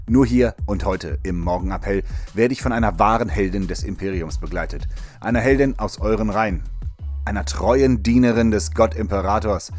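A man performs a jovial military radio host in a sci-fi audio drama, a propagandist with chummy patter. His voice sits on the low side and music plays underneath. He presents everything with showy pep. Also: lightly acted.

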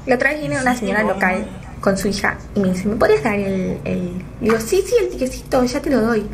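A woman's voice: medium pitch, expressive, brisk, noisy background. A woman from Argentina with an Argentine accent telling an anecdote and acting out the voices in it. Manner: acts out voices while telling a story. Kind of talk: anecdote told to camera.

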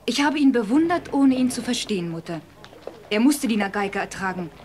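An actress in a German audio drama playing a loyal young woman who speaks earnestly and gently. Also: a little high, animated, a little fast, slight background noise, lightly acted. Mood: admiring.

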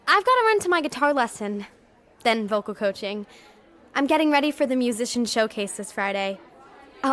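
High-voiced chatty overachieving schoolgirl. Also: fairly bright tone. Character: chatty, self-assured overachieving schoolgirl musician. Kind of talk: casual youth audio drama acting.

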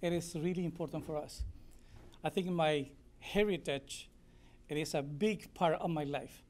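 A man's voice: on the high side, a little mellow, a little hiss or hum. A man born in Spain and raised in Colombia, a native Spanish speaker, gives an earnest, heartfelt thank-you speech at a podium microphone in a council meeting room. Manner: earnest, heartfelt, slightly halting. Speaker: community member accepting a proclamation.

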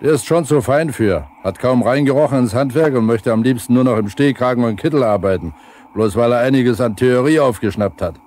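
Man acting older work-crew foreman, gruff, scornful, colloquial, mid-pitched, faintly noisy 1970s radio drama. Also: fairly quick, darkish tone, close to an everyday voice. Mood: scornful, reproachful. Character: gruff, reproachful older foreman near retirement.

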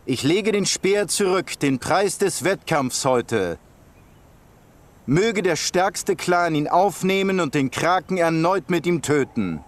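A man's voice: on the high side, expressive, takes it slowly. A man voicing a proud, solemn ceremonial speaker in a fantasy game, formal and oratorical. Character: solemn male ceremonial master addressing warriors, proud.

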